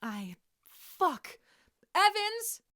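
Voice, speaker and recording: A woman's voice: very high voice, sing-song, extremely slow, no echo, clean studio sound, pitched above the performer's own voice, exaggerated voice. A woman voicing a brash, lovestruck teenage wizard boy in an audiobook-style story, flustered and frantic. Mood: desperate, apologetic. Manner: shouting, stammering, frantic.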